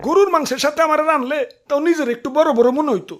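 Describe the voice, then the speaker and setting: A woman's voice: sits high, fast talker, natural voice. A woman playing a boastful, touchy potato king in a cartoon, scolding pompously with a Bangladeshi accent. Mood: indignant. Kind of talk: comic cartoon acting.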